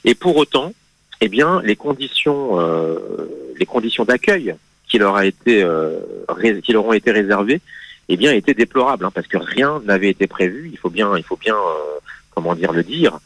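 A man speaks as a guest in a radio studio, laying out history in a measured, patient way. His voice sits on the low side and he hesitates often, circling back on his own phrasing. The sound is dry and close, with no room around it. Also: big pitch swings, a bit bright, no echo, rough recording. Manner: hesitant, many fillers and restarts, measured explanation. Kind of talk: radio interview, explaining history.

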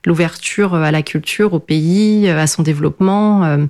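A woman's voice: fairly low pitch, moderate pace, darkish tone. A middle-aged woman from France whose first language is Portuguese, answering interview questions in a hesitant way with many fillers and restarts. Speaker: guest.

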